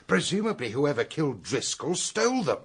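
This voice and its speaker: An actor playing a brisk, sceptical British gentleman investigator in a radio drama, clipped and questioning. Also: on the high side, very animated, vocal fry, slightly rough, full character voice. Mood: reasoning.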